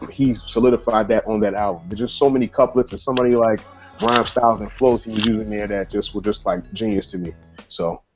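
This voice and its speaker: A man's voice: on the low side, a little mellow. Rambling man in his thirties. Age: 30s. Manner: rambling, full of restarts. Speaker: panelist, rapper. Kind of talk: casual conversation. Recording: lo-fi, faint music behind.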